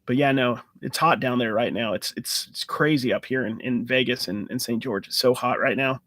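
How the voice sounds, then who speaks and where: A man's voice: mid-range voice, normal speed, fairly bright tone, no echo. A man hosting a casual live-stream Q&A, talking in a loose, rambling way with restarts and fillers. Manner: enthusiastic about card hunting. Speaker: sports card channel host.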